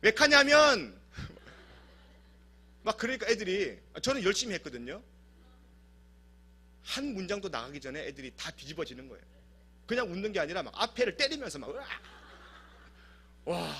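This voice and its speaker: Male pastor from South Korea, husky tone, humorous animated preacher. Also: on the high side. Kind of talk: sermon. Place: church service.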